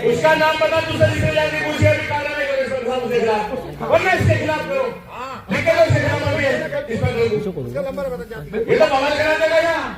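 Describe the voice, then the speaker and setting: A man's voice: very high voice, a little fast. A man confronting and scolding someone during a protest. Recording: echoey hall from far off, loud crowd.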